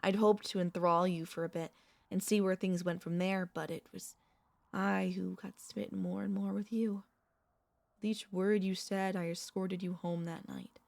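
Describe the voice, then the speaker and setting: A woman voicing a centuries-old vampire mistress, a tender, possessive girlfriend, speaking softly and intimately with courtly wording and hesitant pauses in an ASMR roleplay. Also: mid-range voice, little pitch movement, gravelly, no room sound, lightly acted. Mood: wistful, tender. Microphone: close studio microphone, dry.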